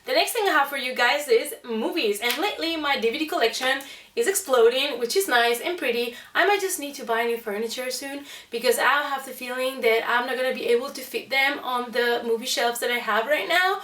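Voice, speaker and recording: High-pitched chatty woman, roomy echo. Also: fairly quick, fairly bright tone. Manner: chatty, enthusiastic. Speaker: video host showing a convention haul. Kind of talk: casual vlog chat to viewers. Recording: roomy echo, distant microphone.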